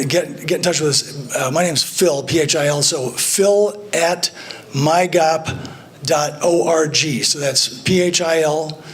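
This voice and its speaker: A man speaking casually at a club meeting, recruiting volunteers, halting and full of fillers. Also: fairly high pitch. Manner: plain, halting, many fillers. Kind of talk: casual public talk at a meeting.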